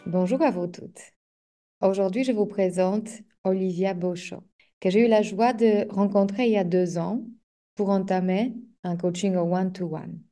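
A woman's voice: medium pitch, warm tone. A woman hosting a coaching podcast, reading a scripted intro and then interviewing a guest in a hesitant way with many fillers.

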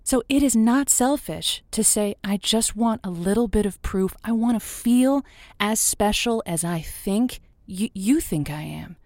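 A woman's voice: mid-range voice, animated, measured pace, a little mellow, no echo, clean studio sound. A woman playing a romantic, sympathetic radio advice host in a semi-improvised audio drama, speaking in an earnest, emphatic way.

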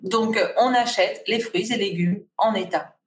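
A woman, the guest, chatting about cooking and fasting with many fillers and restarts. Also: medium pitch, reverberant, recorded from a distance. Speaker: guest, fasting retreat organizer. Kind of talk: casual conversation.

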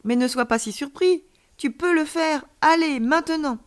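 Storybook star child, male, high-pitched, mischievous and eager, always urging others on; played by a woman. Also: expressive, normal speed. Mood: exuberant, cheering. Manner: playful, enthusiastic, encouraging. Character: joyful, mischievous alien boy from the stars. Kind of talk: children's audiobook character voice.

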